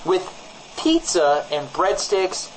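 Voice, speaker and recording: A man's voice: high voice, very animated, slow pace, slight background noise, heavily acted, rough recording. A man playing a nervous, shady small-town radio host who stammers and repeats himself defensively in comic banter. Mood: flustered. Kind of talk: improvised-sounding comedy radio banter.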